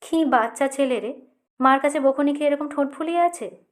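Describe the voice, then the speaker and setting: A woman reader acts out an affectionate young woman from an audiobook. She fusses and coaxes anxiously in a high, insistent voice. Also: fairly quick, close to an everyday voice. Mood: scolding, puzzled. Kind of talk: audiobook character dialogue.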